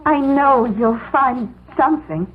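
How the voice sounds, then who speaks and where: Very high-pitched, flustered, devoted secretary. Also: female voice, slow pace, noisy background. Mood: gentle concern. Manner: hesitant, stammering, earnest. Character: devoted, flustered, tender-hearted female secretary. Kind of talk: 1970s radio drama acting. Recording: tinny old radio broadcast recording.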